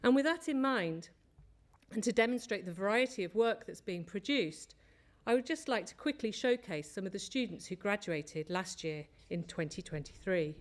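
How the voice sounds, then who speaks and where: A woman presenting at an academic conference, reading from prepared notes in a measured way with small slips. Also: mid-range voice, animated. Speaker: conference speaker, lecturer. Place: lecture hall.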